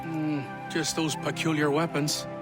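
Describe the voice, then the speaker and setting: Grumbling old man played by a male actor, high-pitched, feisty, game drama, loud music behind. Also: level tone, a little slow, natural voice. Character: grumbling, feisty old townsman, proud of younger days. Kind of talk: video game drama acting.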